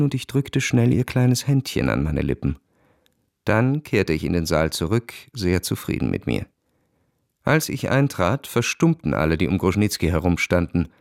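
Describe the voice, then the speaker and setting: An actor playing a cynical, self-possessed young aristocratic officer, narrating and speaking in a literary radio drama with a measured, detached manner. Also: male voice, mid-range voice, darkish tone, close to an everyday voice.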